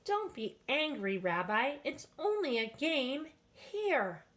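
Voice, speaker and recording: A woman acts out a hospitable old grandmother from a children's storybook. She fusses and scolds gently with great fondness. Her delivery is unhurried and lilting. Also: high-pitched, very animated, voice pushed up, exaggerated voice. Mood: gently firm. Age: older. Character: kindly, hospitable old grandmother, chuckling and fussing. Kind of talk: children's storybook read-aloud.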